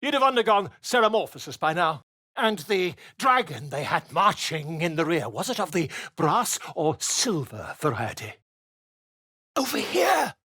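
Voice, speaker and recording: A pompous, flamboyant middle-aged author in a video game, nosy and fussy. His husky voice swoops animatedly up and down as he talks in florid, wordy phrases and wheedles. The sound is close and dry. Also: fairly high pitch, big pitch swings, measured pace, sharp tone, dry recording, heavily acted.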